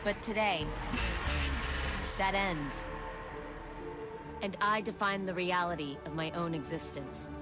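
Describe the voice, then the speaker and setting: A woman playing a proud, solemn artificial intelligence guardian in a video game, speaking in grandiose, deliberate declarations under music. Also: lowish voice. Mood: resolute, proud. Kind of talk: dramatic video game monologue.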